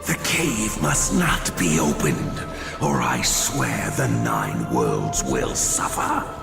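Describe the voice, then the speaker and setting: An actor playing an ancient, menacing frost giant in a video game, gravelly, grandiose and threatening. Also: male voice, rumbling low, huge pitch swings, slow, full character voice. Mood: grave warning. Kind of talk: dramatic video game acting. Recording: loud music under the voice.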